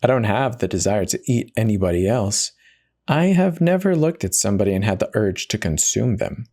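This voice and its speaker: A man's voice: lowish voice, very animated, normal speed, warm tone. A man voicing a self-justifying, conversational narrator who casually confesses in a first-person dramatic horror reading. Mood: casual, defensive. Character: confessional devout man turned self-eater, casually rationalizing.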